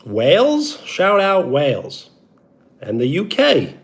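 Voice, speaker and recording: A young man from New York talks in a Brooklyn accent, chatting loosely with the viewers of his live stream. His pitch swings up and down a lot as he calls out names with a big stretched "yo, what up". Between greetings he drops into an easy sports-radio delivery. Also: huge pitch swings, takes it slowly.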